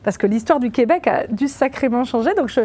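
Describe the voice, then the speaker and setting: Mellow-voiced woman host. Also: on the high side, animated, brisk. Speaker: podcast host. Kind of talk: podcast interview.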